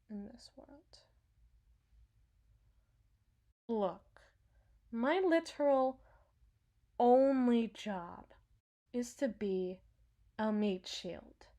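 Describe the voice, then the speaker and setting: Woman acting a slow-talking, sardonic villain's medic. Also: a little high, exaggerated voice. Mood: intense, resigned. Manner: sardonic, dramatic, swings from hushed muttering to outbursts. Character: brash sarcastic female villain's henchwoman and field medic, foul-mouthed, protective. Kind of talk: intimate ASMR audio roleplay.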